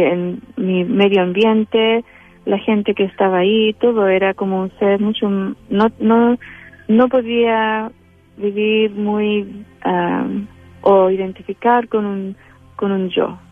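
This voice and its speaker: Hesitant Chilean woman on a phone line. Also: mid-range voice. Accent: Chilean Spanish. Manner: hesitant, many fillers and restarts. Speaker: interview guest. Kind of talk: spiritual interview. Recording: tinny phone-line sound.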